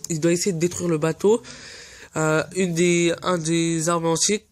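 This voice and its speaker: A man hosting a fan channel, stuffy from a cold, giving casual, earnest commentary that often pauses to search for words. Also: a little high, level tone. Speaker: anime fan channel host.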